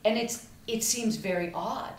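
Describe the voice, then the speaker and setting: A woman's voice: a little high, measured pace. A middle-aged woman with an American accent, chatting casually as an interview co-host. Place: small plain room at a table, indoors. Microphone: desk microphone on stand. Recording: echoey room, faint background noise.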